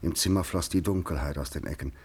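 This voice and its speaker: Very deep, husky male narrator. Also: quick pace, darkish tone. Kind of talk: scripted literary narration in a radio play.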